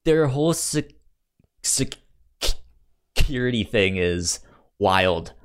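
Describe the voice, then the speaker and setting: A man co-hosting a film podcast in casual conversation, halting and often stammering over repeated words. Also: lowish voice, animated, clean tone. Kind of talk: casual movie discussion.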